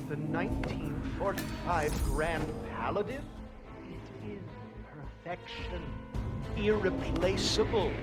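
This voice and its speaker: An actor playing a pompous, fussy sommelier in a video game, speaking in ornate, theatrical phrases. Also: male voice, a little high. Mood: wistful, aggrieved. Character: fussy, theatrical male wine connoisseur, exasperated and reverent. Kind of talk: dramatic video game acting. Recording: game soundtrack music behind. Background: loud music.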